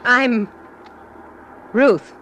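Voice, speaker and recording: A woman's voice: a little high, drawn-out words, fairly bright tone, slight background noise. An actress playing a hardened, sardonic young woman out for revenge in a 1940s radio drama. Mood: grim, menacing. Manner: tough, sardonic. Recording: vintage 1940s radio recording.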